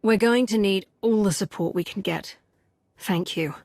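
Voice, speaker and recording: An actress voicing a calm, caring and resolute female military commander in a video game, speaking in a measured way. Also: medium pitch, no echo, clean studio sound, lightly acted. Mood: composed. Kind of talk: video game cutscene acting.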